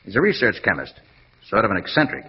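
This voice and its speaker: Casting: American private eye, fast and sure of himself, clipped delivery, dull vintage radio sound with hiss. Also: male voice, on the low side, wildly swooping pitch. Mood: thoughtful. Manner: brisk, confident, clipped. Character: brisk, self-assured male private detective. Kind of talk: 1940s radio detective acting. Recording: dull, noisy old radio recording.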